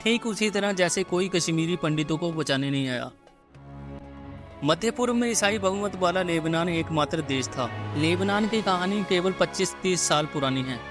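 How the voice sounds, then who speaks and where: Male voice-over narrator, very fast, fairly high voice, urgent direct address, music underneath. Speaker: narrator of a video channel.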